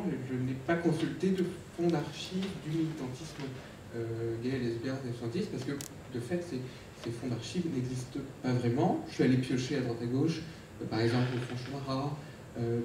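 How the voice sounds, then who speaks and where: A man's voice: medium pitch, noisy background. A man speaking on a conference panel, hesitant, with many fillers and restarts, recorded in a large lecture hall. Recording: echoey hall, speaker far from mic.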